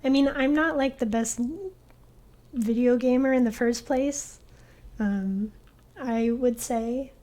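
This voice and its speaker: A young woman streaming a game, chatting in a soft, hesitant way with lots of fillers. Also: a little high, fairly even intonation, slow. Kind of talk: casual gaming stream chat.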